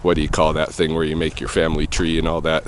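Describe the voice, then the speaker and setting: A man talking casually to camera while out on an evening walk, thinking aloud in a loose, rambling way with pauses and soft chuckles. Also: extremely low pitch, completely flat delivery, noisy background. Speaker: vlogger answering a viewer question. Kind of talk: casual walking vlog. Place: outdoors on an evening walk near traffic.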